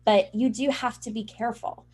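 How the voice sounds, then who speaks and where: A woman's voice: on the high side, fairly even intonation, normal speed, crowd noise. A woman interview guest explaining things in an earnest, assured conversational way. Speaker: interview guest, publicity trainer and journalist.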